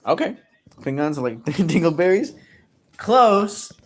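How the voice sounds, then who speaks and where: A man in his early thirties livestreaming, reading chat aloud and joking crudely, with sudden loud outbursts. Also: on the high side, big pitch swings, measured pace. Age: 30s.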